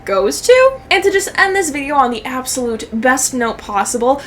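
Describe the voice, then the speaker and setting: A young woman with a high voice reacts casually to videos. She jokes and swears freely in animated slang. There is some noise behind her. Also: normal speed. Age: young adult. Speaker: YouTube commentator.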